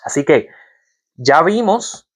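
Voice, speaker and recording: A man's voice: fairly high pitch, sing-song, slow. A man giving a Bible-teaching sermon, reading verses aloud and asking his listeners rhetorical questions. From Puerto Rico. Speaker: preacher.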